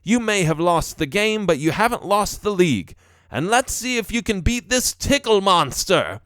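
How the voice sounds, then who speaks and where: A man's voice: sits high, big pitch swings, moderate pace, fairly bright tone, dry recording, studio quality, pitched above the performer's own voice. A man voicing a storybook lab rat professor, earnest, fretful and fatherly, with an emphatic delivery. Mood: fatherly, reassuring. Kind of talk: storybook character voice.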